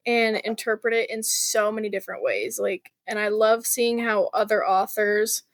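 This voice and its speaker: A woman's voice: mid-range voice, little pitch movement, normal speed, creaky, dry recording. A woman speaking as a guest author in a casual interview, chatty and informal, with plenty of "like" and "you know".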